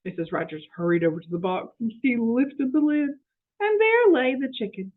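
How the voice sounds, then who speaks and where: Animated woman reading to children, phone-like sound. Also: a little high, moderate pace. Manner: expressive, explanatory. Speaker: children's story reader. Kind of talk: animated picture-book read-aloud with questions to children. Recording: muffled narrow phone-like audio.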